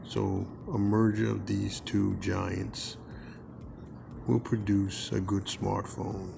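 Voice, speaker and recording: A man giving a brief, measured opinion in an interview. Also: lowish voice, little pitch movement, vocal fry. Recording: noisy ambient background.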